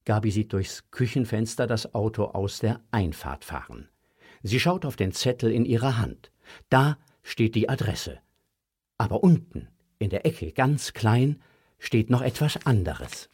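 A man's voice: lowish voice, sing-song, a little slow, a little mellow, breathy edge. A man narrating a scripted children's audio story with a dramatic, suspenseful delivery.

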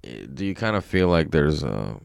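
A man co-hosting a podcast, chatting casually and haltingly as he gropes for words. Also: extremely low pitch, big pitch swings, creaky, no echo, studio quality. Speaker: podcast co-host. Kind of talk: casual chat.

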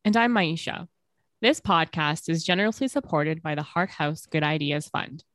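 A woman's voice: low voice, expressive, normal speed, a bit bright, dry recording. A woman co-hosting a podcast, introducing her guest and asking him encouraging, upbeat questions. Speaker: podcast co-host.

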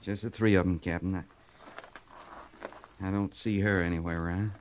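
An actor playing a plain-spoken, dutiful cavalry soldier in a 1950s radio western, with folksy rural phrasing. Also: male voice, low-pitched, fairly even intonation, normal speed, lightly acted. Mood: grim. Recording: noisy old radio recording.

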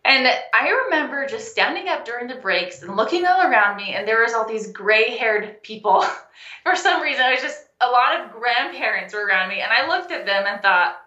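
A young adult woman, a health coach and guest, telling her story in a casual interview, animated and laughing often. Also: fairly high pitch, moderate pace, roomy sound, dull audio. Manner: animated, laughs often, acts out quoted phone calls.

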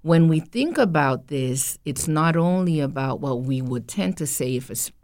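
A middle-aged woman from Costa Rica, a public health dean, answering podcast interview questions in a measured, thoughtful way. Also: low-pitched, animated, a little mellow.

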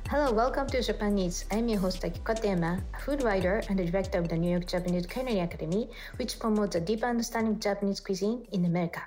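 Woman, podcast host, friendly, polite, mid pitch, slightly non-native phrasing. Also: fast talker, hardly any pauses, a little mellow, soft background music. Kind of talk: podcast interview.

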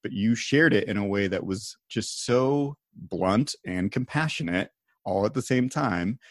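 A man hosts a relaxed podcast chat, laughing freely and rambling a little through fillers. His voice sits on the low side. The sound is dry and close, with no room in it. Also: a little slow, dry recording. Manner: laughs often, loose and playful with fillers. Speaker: podcast host and pastor. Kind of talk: casual chat. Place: remote call.

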